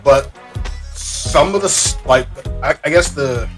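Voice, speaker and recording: A man on a podcast panel chatting casually, with slangy, halting phrasing and some swearing. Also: mid-range voice, soft background music. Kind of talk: casual chat.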